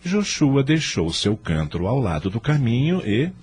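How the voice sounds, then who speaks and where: A man narrating a scripted radio story in a measured, expressive, dramatic manner. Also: medium pitch, husky.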